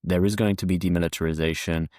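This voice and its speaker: Deep-voiced man, measured interview guest. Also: fairly even intonation, darkish tone, no echo, studio quality. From France. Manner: measured, with frequent restarts and fillers. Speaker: podcast guest, historian. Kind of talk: conversational interview answers. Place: London, UK.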